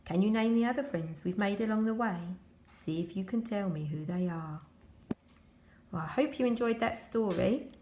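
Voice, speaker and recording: A woman reading a children's picture book aloud as a school storyteller, expressive and addressing young children. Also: mid-range voice, moderate pace, roomy sound, slight background noise.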